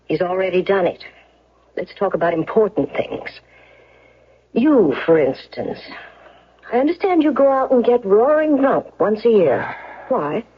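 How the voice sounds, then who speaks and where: A woman's voice: mid-range voice, big pitch swings, a little slow, long pauses, husky. A woman playing a shrewd, self-assured defense lawyer in a radio drama, with a probing, direct, businesslike manner. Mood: brisk, firm. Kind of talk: 1970s radio drama acting. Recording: muffled old radio broadcast recording.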